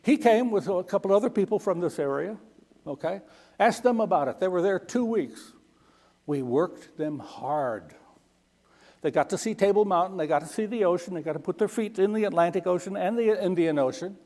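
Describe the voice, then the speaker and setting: A middle-aged man speaks in a fairly high voice, telling mission stories in a folksy way and checking in with "okay?" again and again. His voice carries the long reverb of a big church hall. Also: animated, normal speed, a little mellow. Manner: folksy, keeps asking "okay?". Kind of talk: Sunday school talk.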